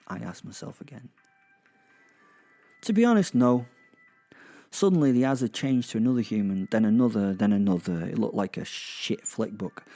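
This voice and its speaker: Man as a mellow, wry, remorseless werewolf killer. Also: medium pitch, close to an everyday voice. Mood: reflective, cold. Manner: wry, deliberate, musing, sweary. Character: remorseless male werewolf killer, wry, cocky, centuries old. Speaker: narrator and protagonist. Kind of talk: first-person dramatic narration.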